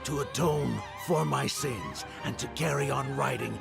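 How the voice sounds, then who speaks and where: An actor playing an aged, guilt-ridden male troupe leader in a game cutscene, speaking slowly and solemnly, with music behind him. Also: medium pitch, gravelly. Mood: mournful. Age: older. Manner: slow, brooding and solemn.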